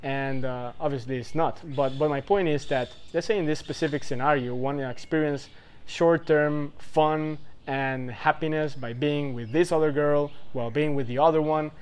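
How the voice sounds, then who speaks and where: A man's voice: a little high, steady tone, moderate pace, clean tone. A man in his twenties giving advice in casual talk to the camera, rambling and loose, full of fillers and asides. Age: 20s. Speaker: vlogger giving life advice.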